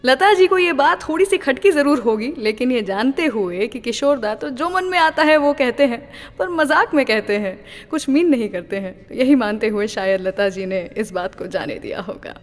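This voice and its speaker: A woman's voice: a little high, expressive, moderate pace, hardly any pauses. A woman hosting a classic-songs radio show, chatting through anecdotes between songs and reciting a short couplet. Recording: long reverb with music underneath.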